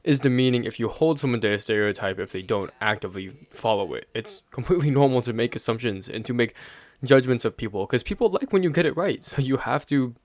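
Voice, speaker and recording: A man's voice: mid-range voice, expressive, fast talker. A young man from the United States giving a casual, rambling opinion monologue, thinking aloud and chuckling often mid-sentence. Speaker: vlogger sharing opinions.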